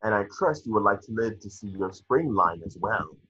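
Scheming villain, played by a man, harshly raspy and forceful, disdainful, muffled audio. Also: lowish voice, lively intonation, normal speed, heavily acted. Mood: cold scheming. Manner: curt, disdainful. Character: scheming, contemptuous fashion-industry conspirator. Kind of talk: amateur comedy table read. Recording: muffled video-call audio.

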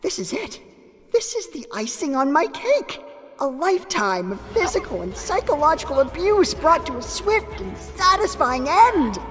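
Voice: high-pitched, big pitch swings, moderate pace, a bit bright, soft background music. An actor voicing a sardonic, exasperated teenage gamer boy in an anime parody dub, sarcastic and melodramatic. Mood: smug sarcasm.

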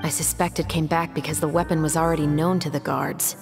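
A woman's voice: deep voice, little pitch movement, fairly quick, over music. An actress playing a composed, businesslike young female patrol captain in a video game, formal and reserved. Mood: cool, probing. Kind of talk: video game dialogue acting.

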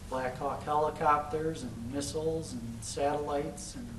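A man's voice: on the high side, fairly even intonation, moderate pace, background noise. A man in his forties with a Chicago accent, giving an informal lecture and taking questions, talking in a loose, conversational way full of "you know". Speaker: guest lecturer. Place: large lecture room, indoors. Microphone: far camera, podium mic. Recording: roomy hall from far off.

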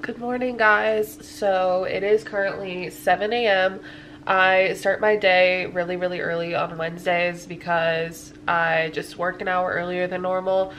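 A woman's voice: lowish voice, flat delivery, unhurried, fairly bright tone, soft background music, rough recording. A woman in her twenties chatting casually to camera in a home vlog, a little stuffy-sounding, with lots of fillers and little laughs. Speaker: lifestyle vlogger. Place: living room at home.

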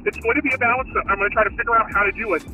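Bright-voiced woman giving an update from a car. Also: fairly high pitch, steady tone, racing, a little hiss or hum, rough recording. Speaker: livestream channel host. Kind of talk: casual update to viewers. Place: inside a car, in the driver's seat.

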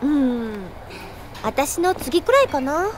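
A woman's voice: sits high, sing-song, slow pace, heavy background noise, full character voice. An actress playing an upbeat, kind young girl in an anime audio drama, with a breezy, girlish, teasing manner. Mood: playful teasing. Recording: studio drama with music behind.

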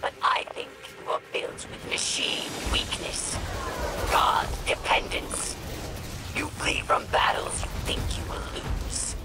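A woman playing a fierce, proud alien warrior in a video game, with a harsh breathy growl. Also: heavy background noise, lightly acted. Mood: menacing, taunting. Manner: slow, menacing declarations. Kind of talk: video game villain acting. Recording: loud game music and effects behind.

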